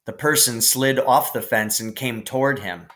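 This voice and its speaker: A man reading a children's novel aloud as scripted narration, in a measured, careful way. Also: medium pitch, fairly bright tone. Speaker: audiobook narrator.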